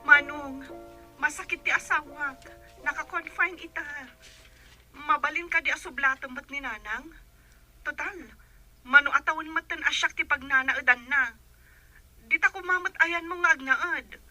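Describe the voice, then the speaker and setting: Casting: pleading younger sister for radio drama; high, very sharp tone over soft music. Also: female voice, normal speed, pauses often. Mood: worried, pleading. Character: worried younger sister pleading for her brother's help. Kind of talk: Ilocano radio drama acting.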